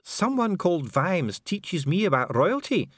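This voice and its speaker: An indignant, officious dwarf official from a storybook, acted by a man. The voice sits high above an ordinary male register and swings about as it stammers and mutters in stiffly formal phrases. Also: big pitch swings, normal speed, no echo, clean studio sound. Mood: grudging, miserable.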